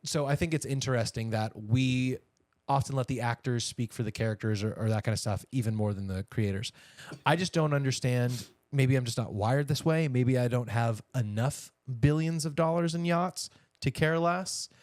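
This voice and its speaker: A man's voice: mid-range voice, normal speed, a little mellow, no echo, studio quality. An American man co-hosting a podcast, chatting casually and giving wry, opinionated asides on entertainment news. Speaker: podcast co-host.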